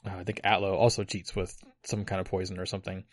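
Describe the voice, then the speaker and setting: Low-voiced man explaining in a dry room. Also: flat delivery, fast, no room sound. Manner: detailed explainer with frequent uhs and likes. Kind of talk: casual chat about a video game.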